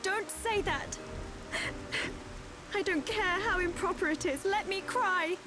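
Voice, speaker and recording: A woman's voice: very high voice, takes it slowly. A woman playing a proud young princess in a video game, formal and emotional. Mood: grieving, pleading. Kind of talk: video game cutscene acting. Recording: music and rain behind dialogue.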